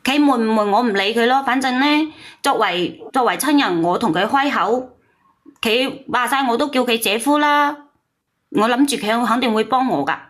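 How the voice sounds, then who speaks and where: High-pitched, defensive woman. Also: normal speed, a bit bright. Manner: defensive, self-justifying, insistent. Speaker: caller on a story call-in show. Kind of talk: heated personal confession.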